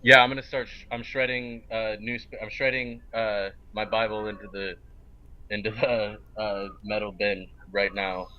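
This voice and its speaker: A man playing a live role-play game over online voice chat, haltingly describing his actions and often restarting. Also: fairly low pitch, flat delivery. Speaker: tabletop role-play player. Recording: dull lo-fi online voice chat, noisy.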